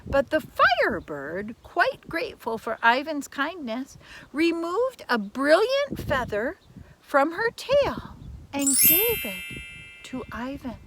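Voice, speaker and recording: A woman's voice: high-pitched, huge pitch swings, background noise. An older woman, a grandmotherly storyteller, reading to children in a dramatic, theatrical way with emphasized words. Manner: theatrical, slow and deliberate, drops into whispers, repeats words for emphasis. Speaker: storyteller and primary teacher.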